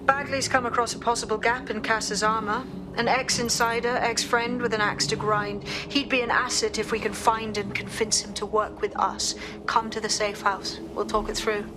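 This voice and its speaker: A woman's voice: fairly high pitch, flat delivery, moderate pace, background noise, close to an everyday voice. A woman playing a serious, determined resistance leader in a video game cutscene, earnest and businesslike.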